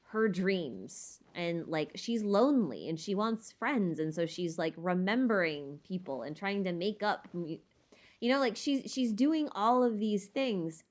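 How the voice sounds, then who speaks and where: A woman streamer chatting casually and thinking aloud in a tentative, rambling way as she answers questions. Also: on the low side. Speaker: streamer hosting a lore Q&A. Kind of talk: casual rambling livestream chat about game lore.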